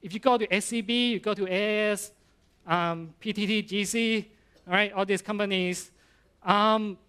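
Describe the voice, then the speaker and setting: A middle-aged Thai man, a university professor, giving an informal conference talk in English with a Thai accent, halting and full of fillers and restarts. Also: high voice, fairly even intonation, bright, forceful tone, clear, resonant tone.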